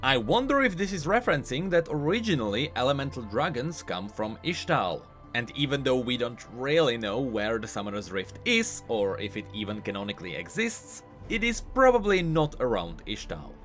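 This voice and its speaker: A man with a lively, widely swinging delivery narrates scripted game lore commentary. He explains things in an animated way at a middle pitch, with faint music behind him. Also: normal speed, few pauses.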